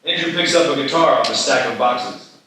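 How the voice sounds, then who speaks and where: Bright-voiced male narrator in echoey hall. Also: mid-range voice, lively intonation, fairly quick. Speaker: stage direction narrator. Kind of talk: scripted narration at a live table read. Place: live festival reading in an echoey room. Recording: echoey hall, distant mic, faint noise.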